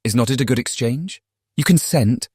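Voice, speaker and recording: A man with a British accent playing a charming, persuasive young Regency gentleman in a dramatized audiobook, speaking politely and eloquently. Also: mid-range voice, normal speed, breathy edge, no room sound, studio quality, close to an everyday voice. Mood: eager, persuasive. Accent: British English.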